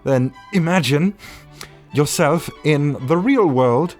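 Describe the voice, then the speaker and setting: Haughty, animated butler played by a man. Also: fairly high pitch, slow, soft background music. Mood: sarcastic. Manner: pompous, condescending, theatrically exasperated. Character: Sebastian, haughty, sardonic butler roasting his viewers' submissions. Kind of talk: theatrical comic roast reading.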